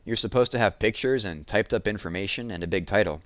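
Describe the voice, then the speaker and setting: A man's voice: on the low side, fast, a bit bright, no echo, natural voice. A man voicing a bossy, scornful schoolboy in a blunt, needling way for a storybook.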